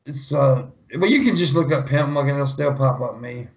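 A man's voice: mid-range voice, moderate pace, creaky, a little mellow. A man chatting casually as a podcast guest, joking with slang and swearing. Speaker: podcast guest, video maker. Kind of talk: casual chat. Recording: echoey room, dull lo-fi audio.

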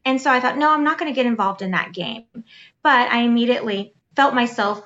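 A woman with a high, sweet voice, co-hosting a casual chat and earnestly encouraging her listeners. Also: brisk, fairly bright tone, lo-fi sound.

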